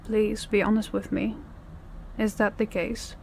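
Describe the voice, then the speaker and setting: A woman acting as a controlling female boss in an audio roleplay, speaking in a measured, polite and quietly pressing way. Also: mid-range voice, flat delivery, gravelly, slight background noise. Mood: reassuring, coaxing. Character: controlling, probing female crime boss, outwardly courteous.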